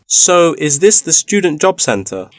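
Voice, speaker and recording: A man's voice: a little high, big pitch swings, unhurried, no room sound, clean studio sound. A man playing a polite, inquiring university student looking for part-time work in a scripted language-test dialogue.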